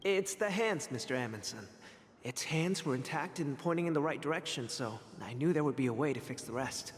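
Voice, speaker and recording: A man's voice: fairly high pitch, expressive, brisk, lightly acted. A man playing a timid, earnest young tinkerer in a game, hesitant and stammering. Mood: shy, earnest. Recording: game audio, long reverb, loud music.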